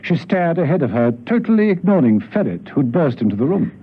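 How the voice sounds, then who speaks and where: A man's voice: a little high, sing-song, a little fast, husky. A man narrating a radio drama in a suspenseful, theatrical scripted style. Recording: dull, hissy old radio recording.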